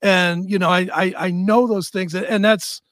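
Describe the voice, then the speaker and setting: A man hosting a casual interview, putting informal, wandering questions with emphatic pauses. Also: high voice, fairly even intonation, a bit bright, no echo, clean studio sound. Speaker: interview host.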